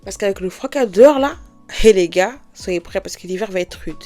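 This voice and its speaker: A woman's voice: medium pitch, animated, brisk, mellow, soft background music. Woman with a head cold, nose all blocked up, talks casually right at her listeners about faith. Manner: chatty, addresses listeners directly. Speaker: podcast host.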